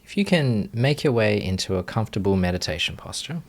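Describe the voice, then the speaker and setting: A man leading a guided meditation as a teacher, giving gentle instructions with long pauses. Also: fairly low pitch, lively intonation, slight background noise.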